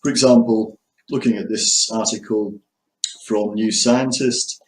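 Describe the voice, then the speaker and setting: A man from the UK introducing himself as an English teacher and walking through his lesson materials, measured, with frequent ums and restarts. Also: lowish voice, fairly even intonation, reverberant, low-quality recording. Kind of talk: self-introduction and screen walkthrough.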